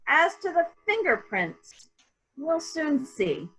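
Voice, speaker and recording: A woman playing a brisk, probing investigator who questions witnesses deliberately in a murder mystery reading. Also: sits high, big pitch swings, a bit bright, heavily acted. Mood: pointed, businesslike.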